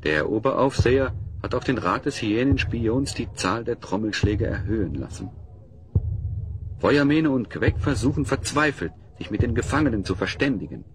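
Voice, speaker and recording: A man narrating a fantasy radio play as its calm storyteller, in scripted narration. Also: mid-range voice, moderate pace, lightly acted.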